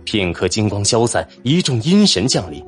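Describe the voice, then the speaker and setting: A man's voice: fairly low pitch, big pitch swings, normal speed. A man reading a fantasy novel as a dramatic audiobook narrator. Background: music.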